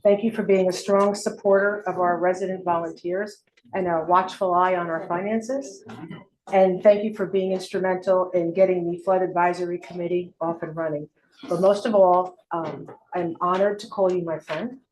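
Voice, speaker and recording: A woman at a township meeting giving a short, heartfelt farewell tribute to a colleague, halting and full of fillers. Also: on the low side, fairly even intonation, darkish tone, slight background noise, low-quality recording. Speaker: fellow committee member.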